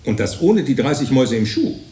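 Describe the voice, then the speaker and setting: A man's voice: medium pitch, very animated, a little fast, dark tone, close to an everyday voice. An actor playing a calculating writer who tallies his money in a precise, pedantic radio drama inner monologue. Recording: roomy, echoing studio sound.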